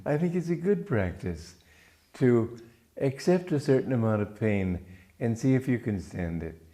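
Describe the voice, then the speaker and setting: An older man from Romania with a mixed English-American accent, giving a calm spiritual talk with stories. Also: mid-range voice. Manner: calm, measured, storytelling. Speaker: spiritual teacher.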